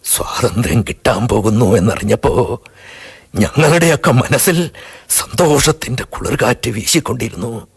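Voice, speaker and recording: A man acting an old, grieving retired stationmaster in a radio play, speaking slowly and reflectively. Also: medium pitch, lively intonation, gravelly, breathy edge, heavily acted. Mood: sorrowful. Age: older. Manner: slow, reflective and lyrical. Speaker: radio drama actor.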